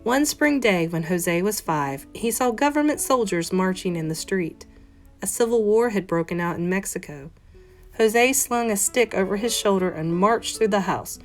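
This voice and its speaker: A woman, a teacher, reading a children's picture book aloud in an expressive, carefully phrased, dramatic manner. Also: medium pitch, moderate pace. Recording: soft guitar music behind voice. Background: plucked guitar music.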